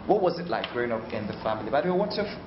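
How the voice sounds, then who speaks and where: A man's voice: mid-range voice, sing-song, very fast. Young man, Nigerian accent, interview host, casual questioning, echoey, dull muffled sound. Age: young adult. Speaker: radio show host, interviewer. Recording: echoey, dull, clearly noisy interview audio.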